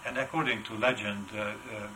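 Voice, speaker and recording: An older man with a heavy Hungarian accent, answering interview questions in a halting way with many filler sounds. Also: lowish voice, fairly even intonation, background noise. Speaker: wood carver, interview guest. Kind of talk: casual interview.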